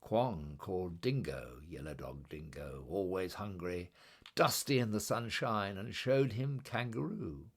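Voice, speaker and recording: A man with a fairly low voice narrates a storybook. He takes his time, chanting repeated phrases rhythmically and dramatically, and his pitch moves in very animated swings. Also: a little slow, breathy edge. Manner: expressive, incantatory repetition. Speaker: storybook reader.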